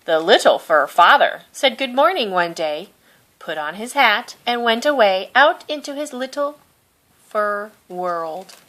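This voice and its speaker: A woman reading a children's picture book aloud in a gentle, expressive storytelling manner. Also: medium pitch, a little slow. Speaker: storybook reader.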